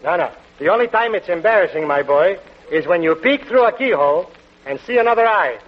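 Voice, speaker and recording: A man's voice: high voice, lively intonation, a little fast. A man playing a cocky, muddled diner owner in an old radio comedy, mangling English in a comic foreign dialect. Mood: cocky, boastful. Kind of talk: 1940s radio comedy acting. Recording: dull, very noisy old radio recording.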